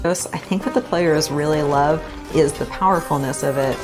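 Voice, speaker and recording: Low-pitched woman over music. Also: normal speed, poor audio. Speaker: game developer. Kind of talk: promotional interview. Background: music bed.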